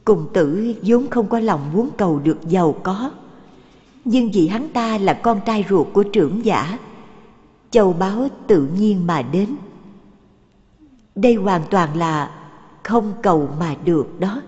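Solemn woman bystander voiced by an actress, animated parable, mid register, dull audio. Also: mid-range voice, unhurried, pauses often, lightly acted. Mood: marveling. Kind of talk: dubbed animation acting. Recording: muffled audio with long reverb.

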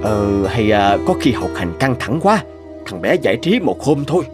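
A man voicing a middle-aged rural father in an animated cartoon, narrating and talking. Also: lowish voice, normal speed. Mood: dismayed. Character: middle-aged rural father, worried then hooked on gaming. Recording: music under the voice.

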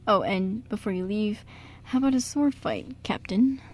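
A woman acts a theatrical stage director, a genial man with a jaunty, mock-formal way of talking. The pitch is middling for a woman, in amateur radio-play style. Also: normal speed, lightly acted. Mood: encouraging. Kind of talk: amateur radio drama acting.

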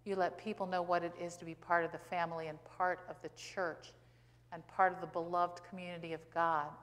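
A woman's voice: on the low side, little pitch movement, fairly quick. American woman preaching in a reverberant church. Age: 60s. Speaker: pastor. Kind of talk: sermon with rhetorical questions. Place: church sanctuary, indoors at a pulpit. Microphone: pulpit gooseneck microphone.

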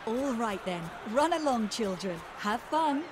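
An actress playing a kindly, gently teasing mother pig in a children's cartoon game. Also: on the high side, animated, a little slow.

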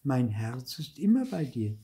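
A sweet fairy sister from a children's storybook, voiced by a man. She chides gently and calmly in a mellow, slightly husky tone that rises and falls expressively. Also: medium pitch, a little slow, exaggerated voice. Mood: gently chiding. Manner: calm, gently admonishing. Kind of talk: dramatised children's storybook reading.

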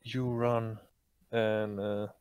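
Deep-voiced, slow-talking man running a game. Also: little pitch movement, a little mellow, smooth, dry recording, highs cut off. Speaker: game master. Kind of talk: running an online tabletop roleplaying game. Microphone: online voice chat. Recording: background noise on voice chat.

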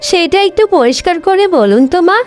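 A woman voicing a proud young bride, the elder sister in a cartoon, with a dramatic, expressive delivery. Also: very high voice, fairly quick, faint music behind. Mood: anxious, pleading. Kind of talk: Bengali cartoon voice acting.

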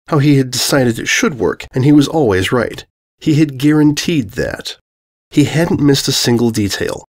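A man's voice: medium pitch, a little mellow. A man reading scripted comic fan fiction as narrator, in a dry, wry manner. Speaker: story narrator.

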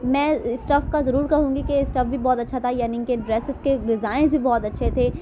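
Young female shopping vlogger, Pakistani accent, high voice, chatty and informal, noisy ambience. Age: young adult. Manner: chatty, addresses viewers directly. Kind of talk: casual shopping vlog narration.

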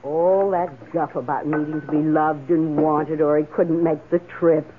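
A woman's voice: deep voice, steady tone, a little slow, noisy background. An actress playing an elderly, wry and tipsy woman with theatrical 1970s radio drama delivery. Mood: wry, fond. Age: older. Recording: muffled old radio broadcast.

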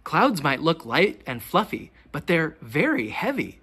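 Teacher doing a kids read-aloud, super swoopy intonation, bit of a husky voice, asks questions real deliberately. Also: medium pitch. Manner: expressive, deliberate questioning delivery for young children. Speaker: teacher reading a picture book aloud. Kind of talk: children's storybook read-aloud.

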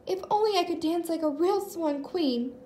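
A woman's voice: extremely high pitch, normal speed, dark tone, echoey room, slight background noise, pitched above the performer's own voice. A woman voicing a cheerful, hopeful young girl in a storybook, earnest and wishful.

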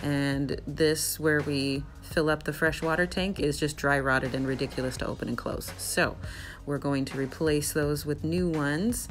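A young woman narrating her renovation vlog in a casual, bubbly and excited way. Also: low voice, a little slow. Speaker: vlogger.

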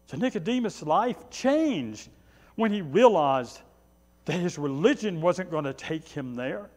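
A pastor in his sixties delivers a sermon in a fairly high male voice. He drives points home with short, repeated phrases, then drops into conversational asides. The church around him adds a long reverb. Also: expressive, a little slow, darkish tone. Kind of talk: sermon, preaching. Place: small church sanctuary, indoors.

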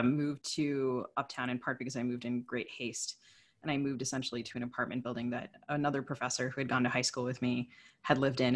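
A woman's voice: extremely low pitch, fairly bright tone. A woman from the US East Coast speaking conversationally as a podcast co-host, explaining things in a discursive way. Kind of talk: conversational interview talk.

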